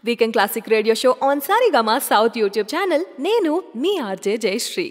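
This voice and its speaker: A woman hosting a radio show with a bright, high voice. She tells stories in a lively, gossipy way, her pitch swinging up and down. A long reverb trails behind her words. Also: normal speed. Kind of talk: chatty radio presenting between songs.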